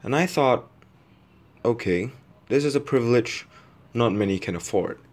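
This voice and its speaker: A man from Indonesia giving a reflective personal monologue as a video essayist, with deliberate pauses and repetition for emphasis. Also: mid-range voice, expressive.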